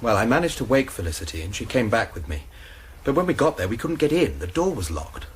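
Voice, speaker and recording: An actor playing a young college student in a radio drama, defensively recounting a fatal scuffle step by step. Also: male voice, medium pitch, sing-song, fast, slight background noise, natural voice.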